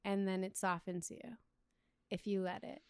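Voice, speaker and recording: A young adult woman, a relationship coach, speaking as a guest in a calm, reflective, soft-spoken way. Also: mid-range voice, moderate pace, clear, resonant tone, no room sound. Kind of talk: interview conversation.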